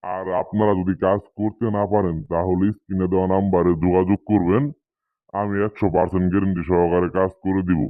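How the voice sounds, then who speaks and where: A man hosting as a self-styled guru, delivering a scripted promotional pitch to viewers in an emphatic, repetitive way. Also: flat delivery, fast talker, dry recording, phone-quality sound. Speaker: channel host, self-styled tantric guru.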